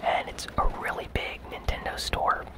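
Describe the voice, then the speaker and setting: Casting: ASMR gaming news host, fully whispered and breathy, informal delivery. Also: normal speed.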